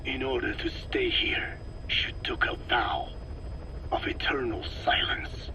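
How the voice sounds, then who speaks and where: An actor playing a solemn, grave old man in a video game, speaking haltingly in a whispery, gravelly voice. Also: medium pitch, steady tone, noisy background, natural voice. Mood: grave, hushed. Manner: slow, deliberate, halting delivery. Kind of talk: dramatic game voice acting.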